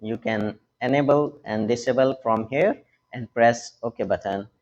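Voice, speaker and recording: Slow-paced man giving a halting tutorial, muffled audio. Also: mid-range voice. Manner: instructional, halting delivery in short phrases. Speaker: tech tutorial host. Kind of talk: hands-on step-by-step product tutorial.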